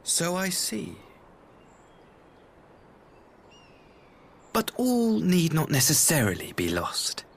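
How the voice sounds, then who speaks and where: An actor playing a smooth, scheming young ambassador in a video game, mock-courteous and theatrical. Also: male voice, on the high side, a bit bright, slight background noise, exaggerated voice. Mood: sly. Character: smooth, scheming young imperial ambassador, cruelly mocking brother. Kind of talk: video game cutscene acting.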